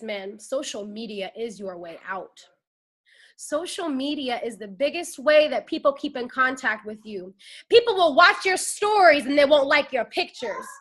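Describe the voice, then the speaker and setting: A young woman in her twenties with a very high, bright voice preaches a fiery motivational pep talk. She talks into a phone and keeps rallying her listeners with call-and-response. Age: 20s. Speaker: network marketing team leader.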